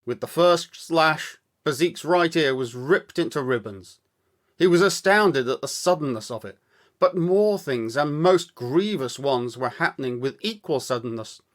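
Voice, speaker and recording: A man with a fairly high voice narrates a story at a very unhurried pace. His delivery is deliberate and theatrical, shaped like an actor reading for a children's storytime. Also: animated. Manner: measured, deliberate, dramatic phrasing.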